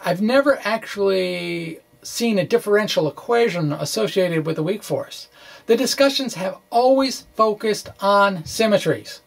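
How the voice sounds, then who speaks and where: A man giving an informal physics lecture, thinking aloud haltingly with folksy, colloquial asides. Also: high-pitched, gravelly. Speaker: physics explainer presenting his own blog.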